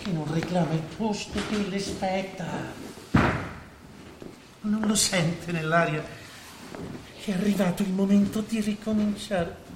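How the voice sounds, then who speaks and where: A man's voice: sits high, steady tone, unhurried, long pauses. An actor playing a cynical, domineering businessman in a stage drama, condescending and persuasive in his delivery. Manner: smooth-talking, condescending, persuasive. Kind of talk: theatrical Italian stage acting. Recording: echoey stage hall, faint noise.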